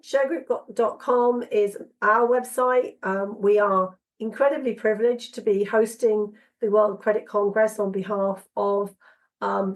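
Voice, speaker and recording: A woman with a cold-roughened voice, hosting a casual podcast interview and pausing often with ums and restarts. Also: medium pitch, low-quality recording. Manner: halting, many ums and restarts. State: hoarse from a cold. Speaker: podcast host.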